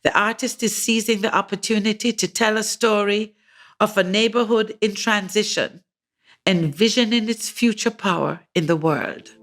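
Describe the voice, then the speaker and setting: A woman's voice: medium pitch, moderate pace, a bit bright. An older woman hosting an arts podcast, reading a scripted introduction and then interviewing a guest with encouraging questions. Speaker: podcast host, curator.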